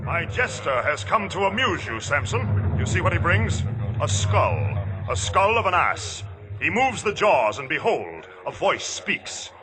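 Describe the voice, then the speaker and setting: An actor playing a proud, forceful military governor of ancient times, declaiming formally in a 1950s radio drama. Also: male voice, on the high side, very animated, unhurried, background noise. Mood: sardonic.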